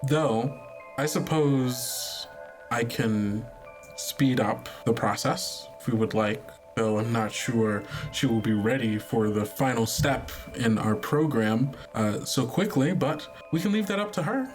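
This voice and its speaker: A man playing a polite, condescending overseer of a sinister program in an actual-play game, speaking formally and smoothly. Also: medium pitch, slow pace, faint music behind, lightly acted. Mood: amused, patronizing. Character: polite, condescending head of a sinister rehabilitation service.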